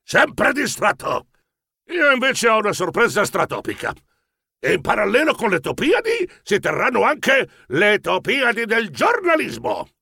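A man's voice: high voice, huge pitch swings, unhurried, creaky, breathy edge, dry recording, clean studio sound, pitched above the performer's own voice, heavily acted. Man putting on a blustering, bossy old grandfather mouse. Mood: indignant, shouting. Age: older. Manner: blustering, shouting. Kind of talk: dramatized children's audiobook.

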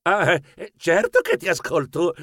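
A man voicing a timid, easily flustered mouse journalist in a children's audiobook, stammering and squeaky. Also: wildly swooping pitch, unhurried, a bit bright, no room sound, studio quality, exaggerated voice. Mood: flustered, startled. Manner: stammering, squeaking when startled.

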